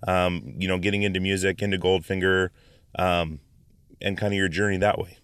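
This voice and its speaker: Smooth-voiced male podcast host. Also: deep voice, moderate pace, no echo, clean studio sound. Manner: relaxed, many fillers and restarts. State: hoarse after stomach flu. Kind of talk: casual podcast intro and interview.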